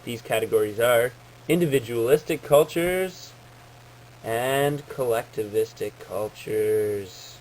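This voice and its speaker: A man's voice: medium pitch, takes it slowly, a little mellow. Man giving a formal presentation, noisy recording. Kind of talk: prepared informational presentation, read out.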